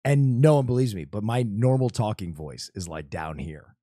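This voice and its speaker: A man in loose, profane chat, cracking jokes with quick asides. His pitch swings widely from line to line, and the sound is dry and close with no room echo. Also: expressive, warm tone. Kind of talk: casual chat reacting to old videos.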